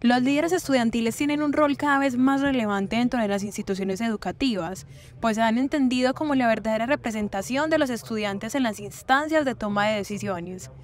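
A woman's voice: a little high, brisk. A woman reading a scripted news report as a voice-over.

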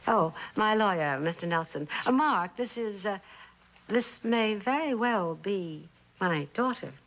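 An actress playing a composed middle-aged mother searching for her lost daughter, measured and questioning, in a vintage television suspense drama. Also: medium pitch, expressive, fairly bright tone. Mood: careful, searching. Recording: noisy vintage TV soundtrack.

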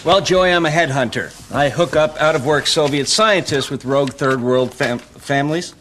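A man's voice: a little high, moderate pace, a bit bright, a little hiss or hum, lightly acted. An actor in his thirties playing a wisecracking man in sitcom dialogue. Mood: deadpan recital. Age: 30s. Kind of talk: sitcom dialogue with flubbed takes. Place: indoor TV studio set.

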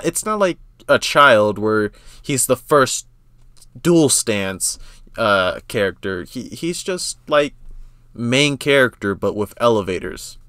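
A young man giving casual, excitable gamer reaction commentary, slangy and exclamatory, often repeating himself. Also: medium pitch, smooth, no echo, clean studio sound. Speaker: reaction video host.

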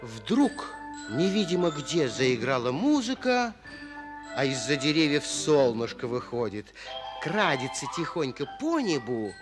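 An actor narrating a 1940s children's radio play as a kindly storyteller, expressively addressing young listeners. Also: male voice, medium pitch, sing-song, measured pace, over loud music. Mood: storytelling.

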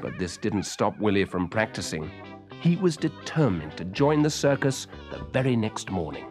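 A British man narrating a children's story in an expressive, scripted storytelling manner. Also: lowish voice, normal speed, music in the background. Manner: expressive, clearly paced storytelling.

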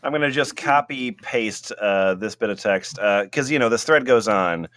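A man's voice: fairly low pitch, animated, normal speed, a bit bright, no echo, clean studio sound. A man making casual, teasing comments as a podcast panelist.

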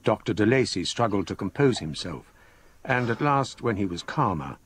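A man acting a grave scholar who narrates a ghost story for radio drama in measured, literary phrases. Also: fairly low pitch, lively intonation, husky. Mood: tense, narrating. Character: grave English scholar recounting a ghostly incident.

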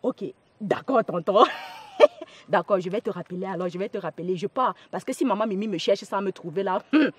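A woman's voice: mid-range voice, a little fast, husky, no echo, lightly acted. A woman with a Cameroonian accent playing an evasive, pleading young gossip in a family drama. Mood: gossipy, reassuring.

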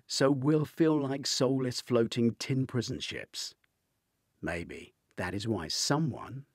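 Husky, expressive older male storyteller. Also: on the low side, no echo, studio quality. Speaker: author reading own novel. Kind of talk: audiobook-style thriller narration.

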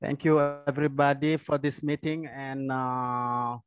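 A middle-aged man, a native French speaker with a French-speaking accent, asking questions haltingly in English on a video call. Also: medium pitch, steady tone, music in the background. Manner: hesitant, halting second-language English. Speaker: webinar participant asking questions. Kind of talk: spontaneous audience question. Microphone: wired earphone mic held near mouth.

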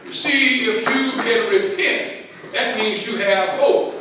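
Older Southern US pastor, very high voice, calls and responses, booming church hall heard from far off. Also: male voice, over a noisy crowd. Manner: preaching with call-and-response, drawn-out emphasis. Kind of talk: sermon. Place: large church sanctuary with congregation. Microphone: handheld mic. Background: congregation calling back.

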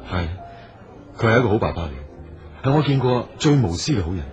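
A man's voice: mid-range voice, expressive, brisk, close to an everyday voice. A man with a Hong Kong Cantonese accent acting a polite, earnest, persistent businessman in a radio drama. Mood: apologetic, earnest. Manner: polite, solicitous. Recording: dull audio with music underneath.

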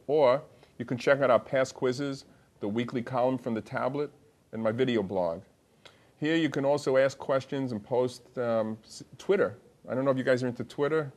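An older man hosting a live call-in medical show in a TV studio, chatty and joking, often restarting his sentences. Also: mid-range voice. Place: TV studio set.

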